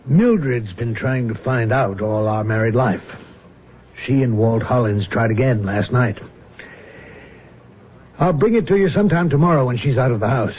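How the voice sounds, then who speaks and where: An actor playing a weary fugitive who once fronted for a gambling mob, husky and halting, confiding in 1970s radio drama style. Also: male voice, mid-range voice, lightly acted. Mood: haunted, weary. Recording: muffled lo-fi vintage radio broadcast.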